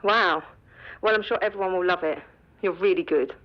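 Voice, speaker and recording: An actress playing a loving, unwell mother who speaks softly and hesitantly in a radio drama. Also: fairly low pitch, normal speed, lo-fi sound, natural voice. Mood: warm. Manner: soft, gentle, hesitant. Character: loving mother, fragile, unwell, proud of son.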